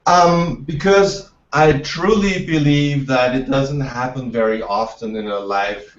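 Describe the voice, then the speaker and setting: Man, fairly low voice, eager and repetitive, far from the mic, echoey room, muffled sound. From Austria. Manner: enthusiastic, many repeated words and restarts. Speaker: entrepreneur guest. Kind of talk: interview answers. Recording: dull, roomy, distant from the mic.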